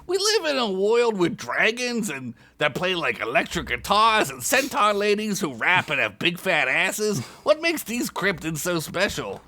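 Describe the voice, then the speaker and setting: A brash, foul-mouthed orc laborer who hollers across a dark warehouse, as a man puts him on. The voice is pushed up high and loud. It sounds strained and bright. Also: sing-song, unhurried, few pauses, full character voice. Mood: griping. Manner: loud, shouty, crude. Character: seven-foot gray male orc warehouse worker, gruff and brash.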